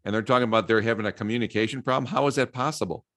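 A man's voice: fairly low pitch, rapid-fire, fairly bright tone, no room sound, clean studio sound. An American man co-hosting a casual sports chat in a laid-back, agreeable way. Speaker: sports talk co-host.

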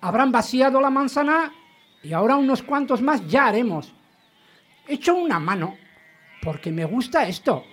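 A woman's voice: fairly high pitch, expressive, normal speed, slightly rough. A woman playing a chatty rural radio quiz caller, rambling and homely. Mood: chatty, keen. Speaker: contest caller.

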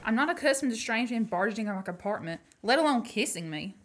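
A woman's voice: fairly high pitch, expressive, fast. Actress as haughty young lady passenger. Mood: indignant. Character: Pamela Stewart, haughty, shrewd young lady train passenger. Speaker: student actor. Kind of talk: radio play acting.

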